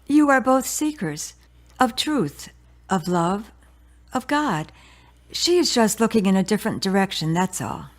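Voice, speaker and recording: A woman's voice: mid-range voice, big pitch swings, measured pace. Radio play mother, played by a woman: motherly, well-meaning, interfering, mid-range voice. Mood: confiding. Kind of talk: radio drama acting.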